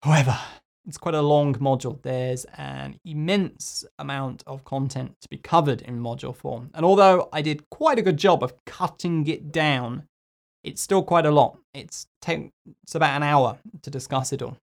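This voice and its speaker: A man hosting a solo English-learning podcast, hoarse from losing his voice, talking in a chatty, musing way with little asides. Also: fairly high pitch, moderate pace. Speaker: podcast host and English teacher.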